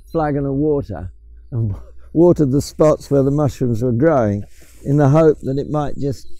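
Older man, english accent, gravelly voice, mellow tone, outdoor woodland, chatty guide. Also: medium pitch, dry recording. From England. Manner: chatty, explanatory, hesitant restarts. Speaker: mushroom forager guide. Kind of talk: casual outdoor explaining.

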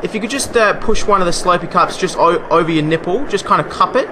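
A man's voice: sits high, fast, background noise. A man making a prank phone call, rattling off breezy, mock-official patter. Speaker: prank caller.

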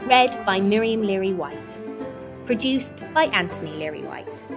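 A woman reading a children's bedtime story as an expressive narrator. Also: mid-range voice, unhurried, music in the background.

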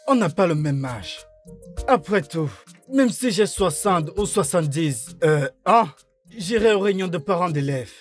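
A man's voice: high voice, animated, a little slow, soft background music. A man dubbing a stammering, complaining middle-aged trader in a film. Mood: grumbling. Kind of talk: French film dub.

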